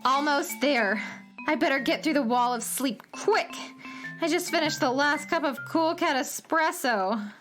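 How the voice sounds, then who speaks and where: An actress playing a bold, eager young space traveler in a children's radio play. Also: female voice, high-pitched, measured pace, soft background music. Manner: eager and excited.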